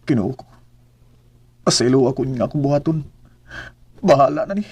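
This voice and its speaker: A man's voice: medium pitch, animated, moderate pace, darkish tone, breathy edge, no echo. A man with a Cebuano accent voicing a struggling husband and father in a radio drama, earnest and keen to please his wife. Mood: despairing. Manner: earnest, says "day" often. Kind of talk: amateur radio drama acting.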